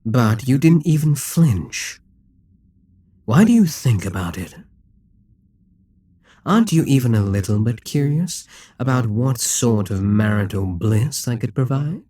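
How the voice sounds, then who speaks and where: Guy doing a smug demon king for asmr, dark mellow husky voice, really swoops around, coaxing and theatrical. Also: medium pitch, sing-song, unhurried, pauses often, no echo. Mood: mocking, seductive. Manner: smug, coaxing, theatrical, often dropping to whispers. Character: dominant, gloating male Demon King toying with a captive hero. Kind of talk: slow, intimate ASMR roleplay acting.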